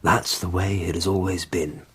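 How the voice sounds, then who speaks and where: A hard-bargaining army commander in a historical radio drama. The voice is low and husky, the manner blunt, measured and weighty. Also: male voice, level tone. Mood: shrewd.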